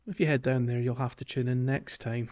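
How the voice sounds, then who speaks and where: A man's voice: mid-range voice, fairly even intonation, darkish tone, dull audio. A man co-hosting a game podcast, talking in a hesitant way with many fillers. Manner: slow, hesitant, lots of uh and um. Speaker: podcast co-host. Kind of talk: casual podcast chat.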